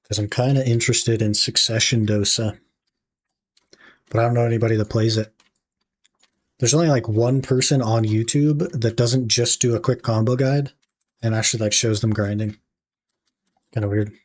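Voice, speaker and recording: A young man with a raspy voice, casually chatting to his stream audience while he plays. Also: medium pitch, normal speed, long pauses. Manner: relaxed, musing aloud while playing, talking to chat. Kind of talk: casual gaming livestream chat.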